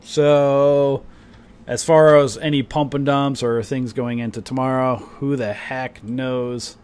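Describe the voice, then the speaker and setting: A man talking casually through stock charts for his viewers, rambling with lots of fillers. His voice feels a little off today, and he coughs and clears his throat now and then. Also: medium pitch, steady tone, takes it slowly, darkish tone. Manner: rambling, chatty, many fillers like "you know" and "right". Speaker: stock trading streamer. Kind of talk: casual market commentary to viewers.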